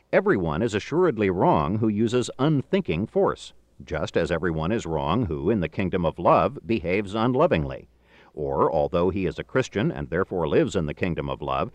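A middle-aged man reading a philosophical book aloud in a formal, measured, emphatic way, with deliberate phrasing. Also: deep voice, no echo. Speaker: audiobook narrator.

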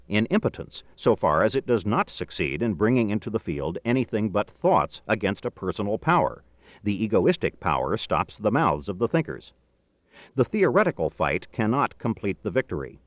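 A middle-aged man reading a philosophical book aloud in a formal, measured, emphatic way, with deliberate phrasing. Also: on the low side, dry recording. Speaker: audiobook narrator.

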